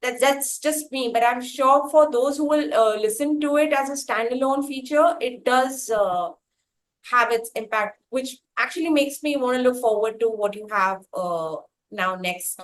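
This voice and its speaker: A woman's voice: on the high side, normal speed, a little hiss or hum. A woman hosting a music interview, polite and hesitant, often repeating her words. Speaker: music show host. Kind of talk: interview conversation.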